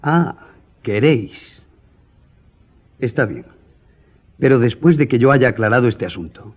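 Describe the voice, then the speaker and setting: Firm, husky gentleman journalist. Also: mid-range voice, expressive, highs cut off. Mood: dry, questioning. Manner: formal, measured, firm. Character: proud, composed male newspaper journalist, duel-ready gentleman. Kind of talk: radio drama acting.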